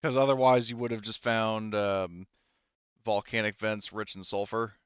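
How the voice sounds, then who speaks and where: A man running a tabletop role-playing game as game master, casual and halting as he thinks aloud. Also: fairly low pitch, little pitch movement, smooth, dry recording. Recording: dull, lo-fi sound.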